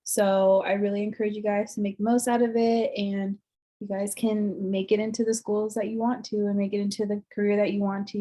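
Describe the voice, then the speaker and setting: A woman's voice: mid-range voice, mellow. A young American woman, vice president of a student program, giving an upbeat, encouraging self-introduction. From United States. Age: 20s. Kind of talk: casual presentation to a student group.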